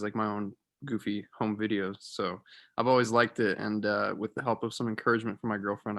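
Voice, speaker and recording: A man's voice: on the low side, fairly bright tone. A young man in casual, informal conversation, speaking with easy enthusiasm about his own experience. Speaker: aspiring actor. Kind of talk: casual chat. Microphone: video call.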